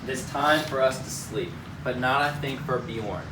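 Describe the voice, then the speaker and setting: A man's voice: mid-range voice, moderate pace, lightly acted. A man playing a wise old wizard who guides and warns travellers in an amateur readers' theater reading. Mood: cautioning. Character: Gandalf, wise old male wizard guiding and warning travellers. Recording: noisy room, distant microphone.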